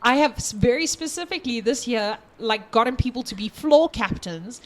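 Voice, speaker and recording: A woman with a fairly high voice hosts a tabletop gaming podcast. She talks in a casual, giggly, joking way, like someone telling stories to friends. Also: normal speed. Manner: giggly, jokey, animated storytelling. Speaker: game master and podcast host. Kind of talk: casual joking group chat.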